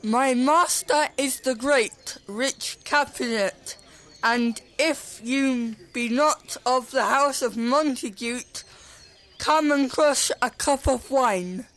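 A woman's voice: high voice, slow, a bit bright. A woman playing a humble household servant in a student Shakespeare audio play. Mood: polite.

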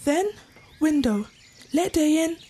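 A woman's voice: high-pitched, lively intonation, takes it slowly, warm tone. A woman playing a passionate, defiant young girl in love, declaiming in a Shakespeare audio drama. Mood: tender, anxious. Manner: declamatory, impassioned.

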